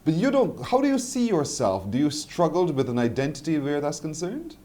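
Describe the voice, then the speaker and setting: Man hosting breakfast TV, lively rising and falling voice, studio. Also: fairly high pitch, a little fast. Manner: lively, affirming interviewer. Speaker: breakfast TV host. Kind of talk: TV interview.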